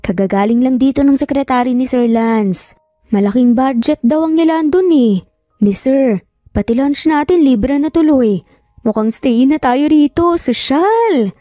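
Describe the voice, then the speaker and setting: Woman acting a high-pitched, chatty young office coworker. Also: lively intonation, a little slow, warm tone, no echo, clean studio sound, soft background music. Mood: excited, teasing. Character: cheerful, gossipy female office coworker, young adult. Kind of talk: Tagalog audio story dialogue.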